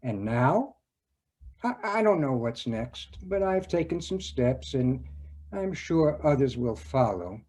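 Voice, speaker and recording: Casting: male actor for earnest, conflicted man in a stage monologue; unhurried, halting, reflective, mellow tone. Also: mid-range voice, very animated. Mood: earnest, troubled. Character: earnest, conflicted man confiding about money, love and conscience. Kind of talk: dramatic stage monologue in readers theater.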